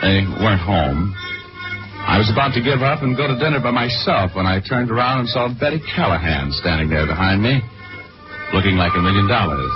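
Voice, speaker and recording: Breezy american gumshoe, low n lively, old muffled radio drama w/ music. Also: male voice. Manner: breezy, flippant, wry. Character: wisecracking, cocky male private detective narrator. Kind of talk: 1940s radio detective acting, first-person narration. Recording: dull 1940s radio recording with music.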